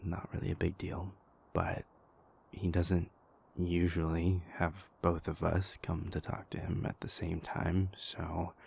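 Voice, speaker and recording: A man playing a stressed young vampire prince confiding in his lover in an intimate, halting audio roleplay monologue, with a husky, gravelly voice. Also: low voice, darkish tone, exaggerated voice. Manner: halting, hesitant, exasperated, conversational.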